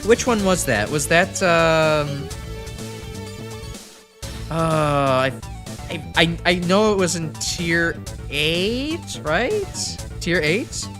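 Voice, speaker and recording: A man's voice: a little high, expressive, extremely slow. A congested man giving casual, rambling gameplay commentary as a streamer, full of fillers and restarts. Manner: rambling, self-correcting, frequent fillers. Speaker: gaming streamer. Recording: game music in background. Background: game music.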